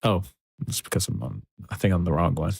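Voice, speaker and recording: A man mixing music on a livestream, thinking aloud in a soft, relaxed, casual way. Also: deep voice, brisk, creaky, husky, no echo, studio quality. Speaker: music producer streaming a mixing session. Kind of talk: casual livestream commentary while working. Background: faint music from the mix being worked on.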